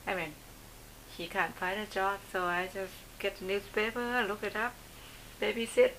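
Bright woman, halting English. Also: medium pitch, flat delivery, slight background noise, rough recording. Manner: simple, halting phrasing. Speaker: interviewee. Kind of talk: casual conversational interview.

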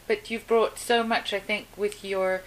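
A woman, interviewing a couple in a relaxed conversation and encouraging them. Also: mid-range voice, measured pace, background noise.

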